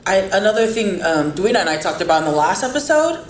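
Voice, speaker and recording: A woman's voice: mid-range voice, big pitch swings, fast talker. A woman hosting a podcast, talking earnestly and encouragingly about faith and family. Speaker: podcast host. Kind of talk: casual interview chat. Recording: roomy with faint background noise.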